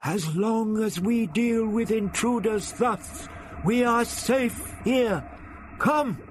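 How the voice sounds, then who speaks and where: A man voicing a fierce, mistrustful male insect chieftain who lives underground, speaking in a harsh, shouting manner. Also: high-pitched, takes it slowly, breathy edge, pitched above the performer's own voice, full character voice. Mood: commanding.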